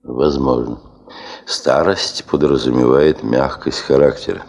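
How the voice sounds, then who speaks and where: An actor playing a middle-aged, exacting expedition chief in a radio play, speaking with measured authority. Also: male voice, a bass voice, fairly even intonation, creaky, darkish tone, heavily acted. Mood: restrained, softer. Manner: measured, authoritative, clipped orders. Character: commanding, exacting male chief of a gold-prospecting expedition.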